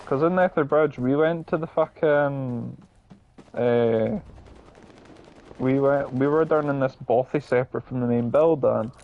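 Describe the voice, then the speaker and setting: A man's voice: mid-range voice, a little slow, phone-quality sound. A young man with a Scottish accent chatting casually and telling rambling, sweary stories while he plays a game. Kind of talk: casual chat and storytelling while gaming.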